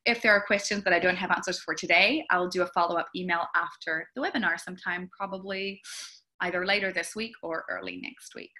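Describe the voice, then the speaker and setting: A woman's voice: lowish voice, fairly quick, sharp tone. A young New woman, a speech therapist, giving a chatty webinar presentation over a video call. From New Zealand. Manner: chatty, explanatory, with frequent fillers. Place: indoor room with plants behind. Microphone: video call webcam.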